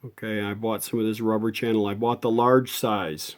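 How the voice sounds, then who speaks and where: A man's voice: fairly low pitch, little pitch movement, normal speed. A man giving an unscripted, hands-on repair demonstration as a restorer, explaining things step by step in a folksy way.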